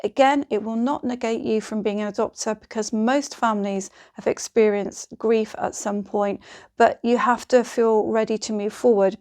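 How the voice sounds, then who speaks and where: A woman's voice: medium pitch, darkish tone. A woman presenting informal instructional advice, speaking directly to the listener in an encouraging, reassuring manner.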